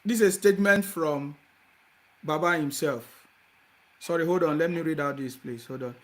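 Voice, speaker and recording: Man hosting phone-in talk, emphatic and exclaiming, voice pitched high for a man. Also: moderate pace, fairly bright tone. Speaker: call-in show host.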